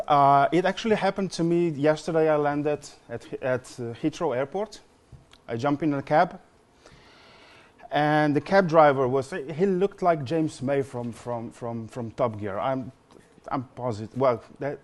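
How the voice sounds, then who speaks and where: A man's voice: mid-range voice, unhurried. Slovenian guy in his 40s giving a conference talk in english, medium voice, lots of ums and repeats. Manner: hesitant, frequent fillers and repeated words. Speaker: conference speaker. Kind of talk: conference presentation.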